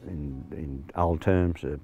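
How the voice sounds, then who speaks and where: A man in his sixties with an Australian accent, a farm manager talking plainly outdoors, with many pauses and fillers. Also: extremely low pitch, fairly even intonation, mellow, highs cut off, faint music behind. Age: 60s. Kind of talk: on-location interview. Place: outdoors on a rural farm paddock.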